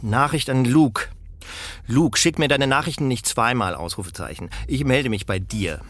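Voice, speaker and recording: A man's voice: medium pitch, moderate pace, a bit bright, natural voice. An actor playing a harried, pedantic middle manager and father on phone calls in a radio drama, officious and a bit hesitant. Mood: exasperated, precise. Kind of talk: naturalistic German radio drama dialogue. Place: phone calls made while driving a car.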